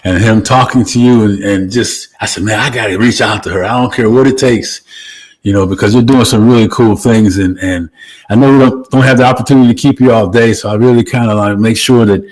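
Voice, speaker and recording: A man's voice: lowish voice, fairly even intonation, brisk, darkish tone, poor audio. A man hosting an interview over a video call, rambling through his questions with many restarts. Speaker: host. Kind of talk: casual interview chat.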